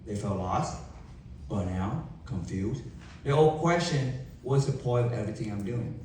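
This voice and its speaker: A young man with a Vietnamese accent giving an emphatic motivational keynote to a live audience, with dramatic pauses and rhetorical questions. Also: on the low side, lively intonation, a little mellow, slight background noise. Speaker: motivational speaker. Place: large event hall. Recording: echoey hall from far off.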